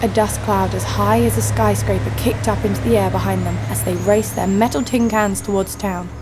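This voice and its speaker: A woman's voice: medium pitch, fairly even intonation, moderate pace, background noise, lightly acted. A woman playing a tough, sardonic fighter who narrates her own story with dry humour in an audio drama. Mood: wry. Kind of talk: scripted first-person audio drama narration.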